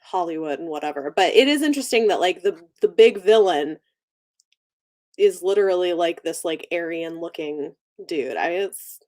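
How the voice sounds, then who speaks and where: A woman's voice: lowish voice, animated, moderate pace, darkish tone. A woman hosting a casual livestream book chat, talking conversationally and thinking aloud as she goes. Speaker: book channel host.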